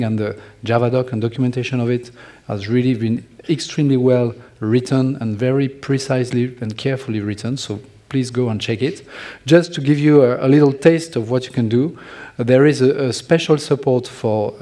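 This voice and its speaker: A middle-aged man with a French accent giving a technical conference talk from a large stage, halting with many restarts. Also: medium pitch, dark tone. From France. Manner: halting, many restarts and fillers, joking asides. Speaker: conference speaker.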